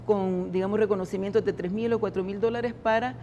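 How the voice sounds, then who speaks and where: Woman, public official in an interview, mellow tone, hesitant with frequent restarts, light hiss behind. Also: mid-range voice, fairly even intonation, highs cut off. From El Salvador. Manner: halting, many restarts and repeated words. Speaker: government culture secretary, interview guest. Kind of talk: interview answers.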